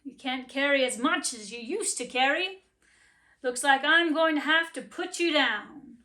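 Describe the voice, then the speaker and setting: A woman's voice: sits high, measured pace, sharp tone. A woman voicing a blunt, dismissive farm master in a storybook.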